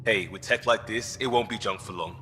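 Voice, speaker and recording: A man's voice: lowish voice, brisk, sharp tone, big-room sound, slight background noise. An actor playing a keen, upbeat young male crewman who loves gadgets, in a video game. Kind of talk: video game cutscene acting.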